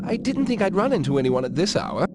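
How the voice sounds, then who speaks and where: A man's voice: sits high, very animated, fast talker, loud music behind the voice. A man playing a nervous, gossiping manor servant in a period horror game, telling things in a dramatic, confiding way. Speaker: household servant.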